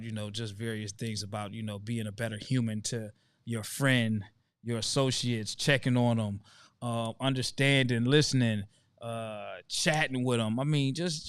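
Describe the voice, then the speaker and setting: A man's voice: medium pitch, fairly even intonation, fairly bright tone, clear, resonant tone, dry recording. Laid-back man hosting a live chat. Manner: laid-back, calls out viewers by name, drawn-out emphasis. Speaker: livestream host. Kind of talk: casual livestream chat.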